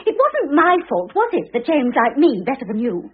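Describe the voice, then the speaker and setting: A thin, narrow old radio recording carries a very high, swooping female voice. It is a younger wife caught in adultery, plaintive and defensive. Her delivery is melodramatic and tearful, in classic radio drama style. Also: huge pitch swings, moderate pace, slightly rough, full character voice. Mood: defensive, shocked.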